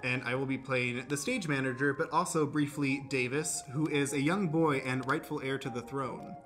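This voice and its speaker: A man's voice: mid-range voice, steady tone, moderate pace, a bit bright, soft background music. A man hosting and introducing an improvised radio play as its stage manager.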